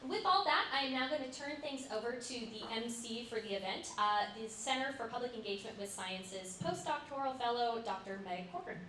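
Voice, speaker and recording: A woman instructor giving measured opening remarks to a graduate class. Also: on the high side, pushed and bright, a little hiss or hum. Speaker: course instructor. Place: lecture room. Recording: echoey room, distant mic.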